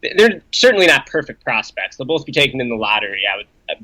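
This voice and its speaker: A man with a bright, forceful tone breaks down basketball prospects on a podcast. His voice sits in a mid range and is recorded dry and close, and he speaks fluently, reeling off statistics with confidence. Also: mid-range voice, sing-song, fast talker, no echo, poor audio. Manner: fluent, lists stats and details with confidence. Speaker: podcast guest, basketball analyst. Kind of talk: casual sports analysis.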